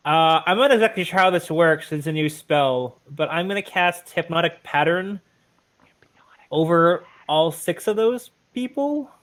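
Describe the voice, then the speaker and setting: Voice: medium pitch, expressive, a little slow, a bit bright. A player chatting casually during an online tabletop game, speaking in a tentative way.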